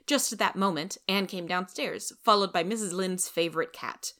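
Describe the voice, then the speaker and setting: A woman's voice: fairly low pitch, normal speed, bright, forceful tone. A woman reading a scripted story aloud as its narrator.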